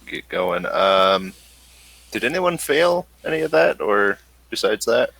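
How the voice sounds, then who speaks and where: A man's voice: fairly low pitch, big pitch swings, takes it slowly, gravelly, fairly bright tone, no echo, poor audio. A man acting as game master, narrating and refereeing a tabletop role-playing game.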